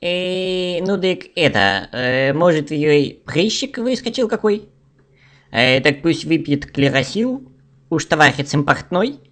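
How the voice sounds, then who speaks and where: A man's voice: fairly high pitch, lively intonation, takes it slowly, fairly bright tone, voice pushed up. A man voicing a bumbling, hesitant quack healer who hems and haws in a rhymed comic fairy tale. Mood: hesitant advising. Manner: hemming, hesitant, swooping.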